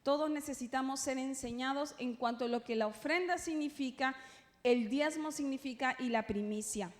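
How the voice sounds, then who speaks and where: A woman with a Peruvian Spanish accent and a high voice teaches a church class. She speaks informally, with playful asides and frequent little checking tags. Her voice rings through a hall with long reverb. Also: normal speed. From Peru.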